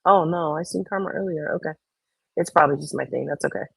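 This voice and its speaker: A woman's voice: sits low, fast talker, creaky, a bit bright, breathy edge, dry recording. A woman co-hosting a casual chat, reading out and reacting to comments in a blunt, opinionated way with some swearing.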